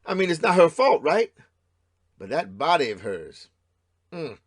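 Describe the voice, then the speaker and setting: A middle-aged estranged husband and father in an audio drama, swaggering and crude. His voice sits a little high for a man, and he needles and goads with macho menace. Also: very animated, a little slow, husky, exaggerated voice. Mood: sly, probing. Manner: swaggering, goading, macho talk. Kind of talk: audio play acting.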